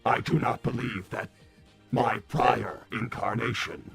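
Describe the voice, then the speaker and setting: A man voicing a cold, calculating robot villain in a formal, stilted debating manner, with a raspy voice. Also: mid-range voice, fairly even intonation, unhurried, fairly bright tone, faint music behind, heavily acted. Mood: cold, haughty. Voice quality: raspy, husky. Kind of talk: improvised in-character debate.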